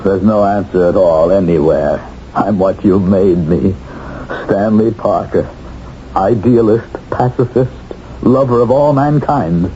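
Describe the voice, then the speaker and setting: An actor playing a guilt-ridden former secret police colonel living as a gentle professor, anguished and troubled, in 1970s radio drama style. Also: male voice, lowish voice, a little slow, natural voice. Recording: muffled old radio broadcast, faint hiss.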